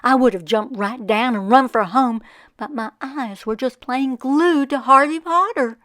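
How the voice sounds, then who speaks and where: A woman voicing a folksy, wonderstruck farmer who recalls a magical childhood summer in expressive storybook narration. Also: high-pitched, unhurried, no echo, studio quality. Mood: awed, scared. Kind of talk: storybook first-person narration.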